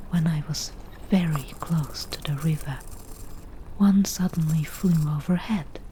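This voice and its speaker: A forest witch from an ASMR roleplay, acted by a woman, whispers in a soft, mellow, slightly low voice. She is chatty and a little wicked, musing at a very unhurried pace in a conversational way. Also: slight background noise, rough recording. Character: eclectic forest witch, chatty, unruly, mildly wicked potion brewer.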